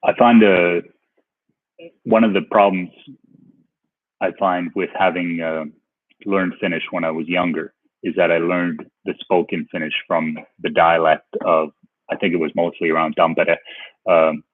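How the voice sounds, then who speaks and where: Man, casual livestream host, deep husky voice, tinny phone-like sound. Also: little pitch movement, unhurried, long pauses, no room sound. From Canada. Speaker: livestream host answering chat questions. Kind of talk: casual chat. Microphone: headphones with mic.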